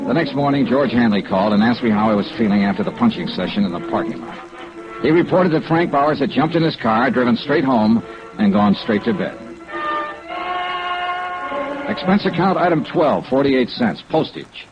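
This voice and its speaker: An actor playing a wry, weary, persistent insurance investigator in an old radio drama, with clipped hard-boiled delivery. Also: male voice, mid-range voice, lively intonation, fairly quick, music in the background, lightly acted. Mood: terse narration. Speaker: lead detective and narrator. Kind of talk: 1950s radio drama acting, first-person narration. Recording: dull, band-limited old radio recording.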